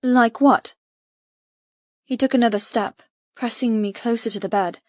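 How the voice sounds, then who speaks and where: A woman's voice: on the high side, dry recording, lo-fi sound. Intense female audiobook narrator, very dry sound. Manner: intense, expressive, deliberate. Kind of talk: dramatic first-person fiction narration. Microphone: close mic in dry room.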